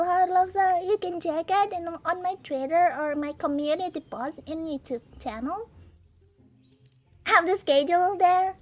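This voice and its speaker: A young Indonesian-accented woman streams live, chatting and giggling as she reads out comments. Her voice is high and light, and soft background music plays faintly behind her. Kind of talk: casual live-stream chat.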